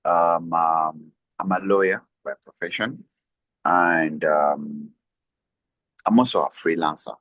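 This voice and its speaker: A young man with a Ugandan accent answers interview questions in a very deep voice. The recording is dry and close, and he talks hesitantly, leaning on um fillers as he explains. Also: a bit bright, no room sound. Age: young adult. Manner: hesitant, many um fillers, laughs lightly. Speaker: guest, lawyer and freelancer. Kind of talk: conversational interview.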